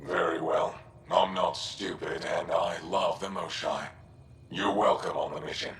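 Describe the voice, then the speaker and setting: An actor playing a proud, distrustful male alien resistance commander in a video game, curt and commanding with a deep voice. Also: fairly even intonation, measured pace, vocal fry, fairly bright tone, roomy sound, a little hiss or hum, full character voice. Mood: grudging. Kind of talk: video game cutscene acting.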